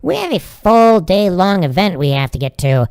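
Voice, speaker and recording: A woman's voice: lowish voice, sing-song, moderate pace, darkish tone, clean tone, dry recording, studio quality. A woman playing a chirpy, curious radio crew member in an audio drama. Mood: upbeat.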